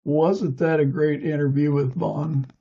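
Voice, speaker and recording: A man from the United States hosts an interview podcast in a conversational way. His voice is slightly high for a man but very mellow, with a husky grain throughout. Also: fairly even intonation, measured pace, highs cut off.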